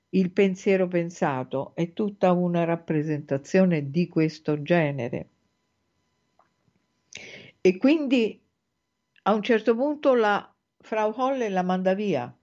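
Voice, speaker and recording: A woman hosting a spiritual talk, explaining a fairy tale in a thoughtful, measured way with frequent hesitant pauses, in dull lo-fi podcast audio. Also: low-pitched, warm tone. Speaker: host and spiritual teacher. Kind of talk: reflective spoken lecture on a fairy tale.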